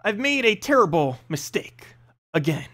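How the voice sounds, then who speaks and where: Gruff older knight general, acted by a male reader, curt and sardonic, animated pitch swings, fantasy storybook. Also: unhurried, exaggerated voice. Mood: weary, exasperated.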